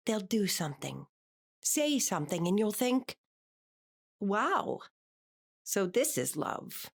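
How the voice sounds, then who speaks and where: A woman's voice: sits low, full character voice. A woman acting an anxious, controlling middle-aged wife and mother in an audio drama, with an earnest, exacting manner. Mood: tender, reflective. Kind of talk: audio drama acting, confessional monologue and dialogue.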